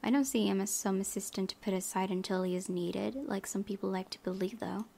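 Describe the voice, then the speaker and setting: A woman's voice: mid-range voice, steady tone, a little fast, creaky, mellow. A woman hosting a gaming video, reading visual novel text aloud with casual asides.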